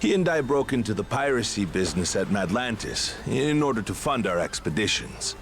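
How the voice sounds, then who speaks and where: An actor playing a genial, nostalgic middle-aged treasure hunter turned pirate in a video game, with a folksy, wry, measured way of talking. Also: male voice, lowish voice, very animated, slight background noise. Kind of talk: dramatic video game voice acting.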